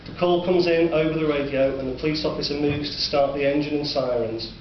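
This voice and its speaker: A man with a Northern English accent narrating a dark story in a measured, sombre way. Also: fairly high pitch, fairly even intonation. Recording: distant, roomy, dull recording with faint hiss.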